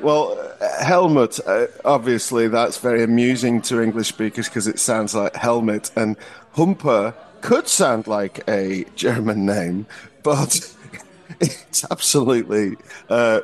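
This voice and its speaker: Man, podcast co-host, average pitch, casual comic banter, bemused, halting questions.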